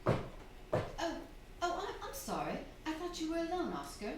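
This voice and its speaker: A woman plays a genteel, strong-willed young wife in an old-fashioned radio drama. Her high voice moves theatrically up and down. It sounds distant and echoey, with clear background noise. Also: huge pitch swings, moderate pace, full character voice. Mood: sweet, polite. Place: theatre hall, distant microphone. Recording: echoey, noisy stage recording from afar.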